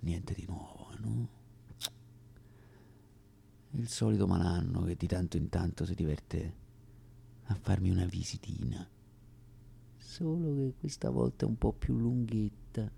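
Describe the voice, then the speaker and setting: A man performing a comic radio theatre monologue as an actor, with deliberate, wry, dramatic phrasing and pauses. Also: sits low, vocal fry, mellow, slightly rough.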